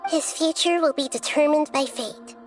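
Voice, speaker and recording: A woman voicing a gentle, childlike young goddess in a video game, speaking in measured, formal, poetic phrases. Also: sits high, soft background music, close to an everyday voice. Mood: explaining, calm. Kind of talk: scripted video game dialogue.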